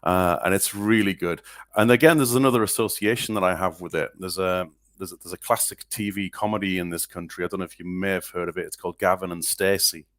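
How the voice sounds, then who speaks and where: A man's voice: deep voice, steady tone, quick pace, fairly bright tone, no echo, studio quality. A man from northeast England, co-hosting a podcast, chatting loosely about songs with many hesitations and restarts. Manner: rambling, hesitant, full of restarts and fillers. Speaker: podcast co-host. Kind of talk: casual chat about music.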